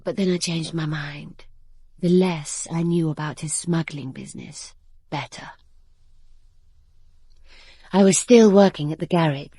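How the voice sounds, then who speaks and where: An actress playing a guarded young bride-to-be who works in a garage, reflective and controlled, in a radio drama. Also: female voice, on the low side, a little mellow, breathy edge, dry recording. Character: young garage-working bride-to-be, guarded, suspicious, narrating her betrayal. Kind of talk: radio drama acting with confessional narration.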